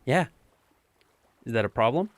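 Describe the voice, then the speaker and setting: A man's voice: medium pitch, lively intonation, unhurried, dry recording, close to an everyday voice. A young man playing an earnest, bookish, smitten teenage boy in an audio drama, playfully pedantic and slightly hesitant. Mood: shy, smitten.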